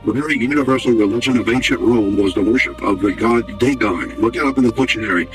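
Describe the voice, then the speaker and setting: A man's voice: mid-range voice, fast talker, soft background music. A man giving an explanatory history lecture, assertive and didactic, restating his key words.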